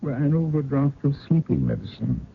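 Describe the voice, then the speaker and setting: An actor playing a haunted older man, telling ghost tales in a halting, dramatic old-time radio style with muttered pauses. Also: medium pitch, wildly swooping pitch, gravelly. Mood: grave storytelling. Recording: muffled phone-like radio recording, faint noise.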